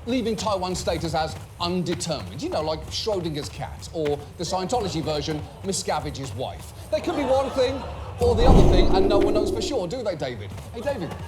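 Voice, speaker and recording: A man's voice: high-pitched, sing-song, a little fast, very noisy. A man hosting a satirical comedy monologue, delivering mocking, sarcastic asides. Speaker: comedy show host.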